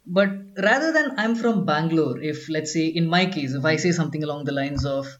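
A man from Kerala with a slightly high voice co-hosts a relaxed conversational podcast. He explains his points patiently and restarts his sentences often. The recording sounds dull and lo-fi. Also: brisk. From Kerala, India. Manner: explanatory, many restarts and "I mean". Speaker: podcast co-host.

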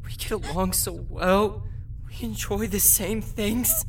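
An actor playing an obsessive, volatile male captor who swings from soft murmurs to screaming rage. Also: high voice, takes it slowly, noisy background. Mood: remorseful. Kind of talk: horror monologue acting.

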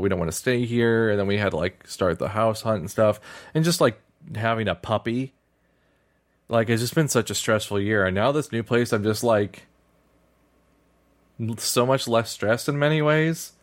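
A man from Missouri co-hosting a podcast in casual chat, talking in a fairly flat, monotone way with lots of "like". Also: fairly low pitch, fairly quick. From Missouri, USA. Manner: monotone, heavy use of "like". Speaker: podcast co-host.

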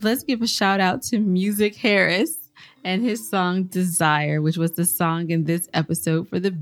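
A woman's voice: fairly low pitch, steady tone, moderate pace, soft background music. A young woman co-hosting a podcast in casual chat, playful and teasing. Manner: playful, laughs often, teasing. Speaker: podcast co-host.

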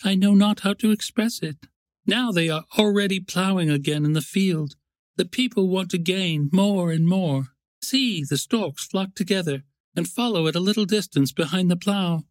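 A man voicing a wistful, gentle female nature spirit in a storybook, with an earnest, yearning, poetic manner. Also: high voice, moderate pace, dry recording, pitched above the performer's own voice, close to an everyday voice.

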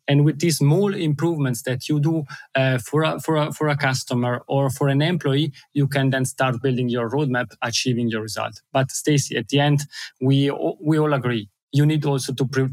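Middle-aged Italian-accented guest, eager and animated, dry close sound. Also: male voice, mid-range voice, no echo. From Italy and Switzerland. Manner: enthusiastic, repeats words, laughs often. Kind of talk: interview.

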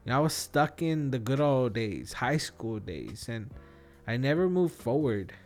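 A man's voice: medium pitch, animated, measured pace, faint music behind. A man in his thirties chatting casually and solo as a podcast host, thinking aloud in a rambling, slangy way. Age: 30s. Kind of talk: casual solo podcast chat, answering questions.

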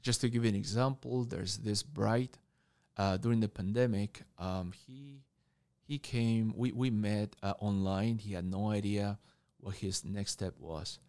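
A man from Taiwan, Argentina and Canada speaking conversationally as an interview guest, halting and repeating words as he goes. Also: on the low side, darkish tone, clear, resonant tone. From born in Taiwan, grew up in Argentina and Canada. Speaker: mentor and coach, podcast guest.

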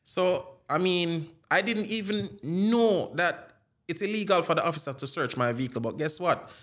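Jamaican man, higher-than-average pitch, casual animated storyteller who slips into patois. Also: moderate pace. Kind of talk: casual chat with anecdotes.

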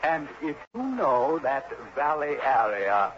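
An actor playing an earnest, fretful insurance executive in a 1960s radio drama, wordy and hesitant, on a muffled, noisy old recording. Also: male voice, medium pitch, big pitch swings, slow, a bit bright. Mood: fretful, explaining. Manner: hesitant, wordy, emphatic repetition.

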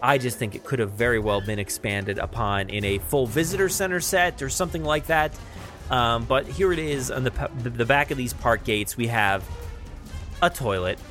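A man hosts a podcast in a casual, unscripted style. He talks haltingly, thinking aloud as he goes, at a middle pitch with faint music in the recording. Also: fairly bright tone. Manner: halting, thinking aloud while describing what he sees. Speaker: podcast host. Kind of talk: casual unscripted news chat.

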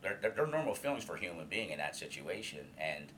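A man's voice: low-pitched, very animated, quick pace, slight background noise, rough recording. A man in his fifties, a guest in an interview, speaking openly and earnestly about his past with many restarts and fillers. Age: 50s. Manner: loud voice. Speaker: alumni coordinator, guest.

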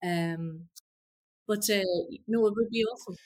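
A woman's voice: mid-range voice, unhurried, a little mellow. A woman, a former teacher, talking earnestly and passionately as a podcast guest. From Ireland. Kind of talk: casual conversation.

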